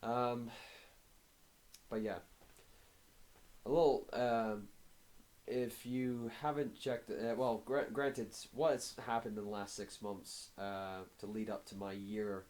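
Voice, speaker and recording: A hoarse man with a cold gives a casual progress update to camera, talking haltingly with many filler pauses. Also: fairly low pitch, flat delivery, a little mellow. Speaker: fitness vlogger.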